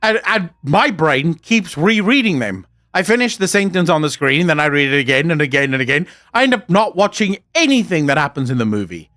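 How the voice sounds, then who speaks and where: A man's voice: a little high, sing-song, fairly quick, few pauses, fairly bright tone. A young man from South Africa with an Afrikaans accent, a native Afrikaans speaker, streaming live and chatting casually with his viewers. He rants and jokes and swears freely. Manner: profane, ranting and joking.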